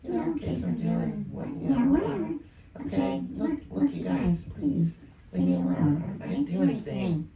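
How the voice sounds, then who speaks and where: A man voicing an agitated younger character through a pitch shifter that raises his voice. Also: sing-song, moderate pace, creaky, crowd noise, heavily acted. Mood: pleading. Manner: agitated, profane. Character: younger, high-voiced character, pleading then threatening. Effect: pitch shifter raising the voice. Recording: roomy, distant mic, background noise.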